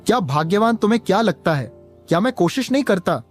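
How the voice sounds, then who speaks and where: A man's voice: high-pitched, animated, quick pace, faint music behind. A man voicing a poor, patient village pot seller and father in a cartoon, earnest and plaintive. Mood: resigned, earnest. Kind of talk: Hindi cartoon story dialogue.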